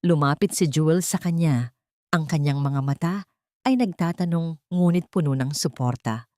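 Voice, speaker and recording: A woman with a fairly low voice narrates a scripted drama. Her delivery is measured and literary, as a storyteller's. The recording is close and dry, with little room sound. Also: warm tone, no echo.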